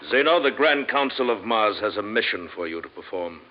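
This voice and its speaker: An actor playing a stern, officious Martian council leader in old radio drama style, formal and commanding. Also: male voice, lowish voice, lively intonation, fairly quick, natural voice. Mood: stern, official. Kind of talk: 1950s radio drama acting. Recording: muffled old radio recording.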